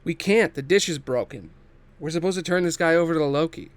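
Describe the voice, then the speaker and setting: A man's voice: a little high, fast, smooth, voice pushed up, lightly acted. A man voicing an abrasive, stubborn starship officer, blunt and dismissive, in a sci-fi table read.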